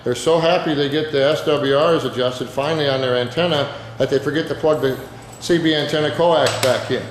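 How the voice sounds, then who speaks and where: A man's voice: on the high side, fairly even intonation, brisk. A man giving an informal, plain-spoken, step-by-step hands-on demonstration as an instructor. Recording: very echoey reverberant room, background noise.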